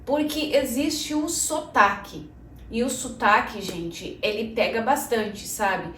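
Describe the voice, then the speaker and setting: A woman's voice: a little high, slow pace, fairly bright tone, distant mic. A Brazilian woman in her thirties hosting a casual personal vlog, speaking Portuguese with a Brazilian accent, chatty and talking straight to her viewers. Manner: chatty, addresses viewers as "gente", frequent "né". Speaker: channel host. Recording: echoey room, voice a bit off-mic, faint noise.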